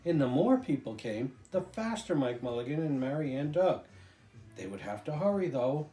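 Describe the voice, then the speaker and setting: Older man, dramatic picture-book storyteller; soft-edged mellow tone, roomy echo, music behind. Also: a little high, normal speed. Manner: expressive, dramatic, with occasional stumbles. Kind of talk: animated children's picture book reading.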